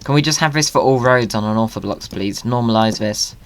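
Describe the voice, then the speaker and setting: A gaming creator talks in a medium-pitched voice. The delivery is playful and excitable, running chattily through commentary as if joking with viewers. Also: a little fast. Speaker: video creator hosting a gaming video.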